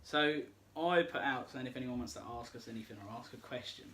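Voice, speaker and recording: Young man, talkative co-host, average pitch, teasing, slight noise on the recording. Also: fairly quick, fairly bright tone. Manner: chatty, teasing, reads out listener questions. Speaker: podcast co-host. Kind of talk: casual chat.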